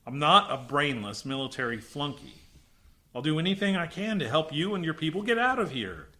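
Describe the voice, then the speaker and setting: Guy playing a military commander in a radio sci-fi play, higher pitched, really animated, indignant and protesting. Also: a little fast. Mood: earnest, resolved. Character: indignant male military base commander, defensive, earnest ally. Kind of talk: radio science fiction drama acting.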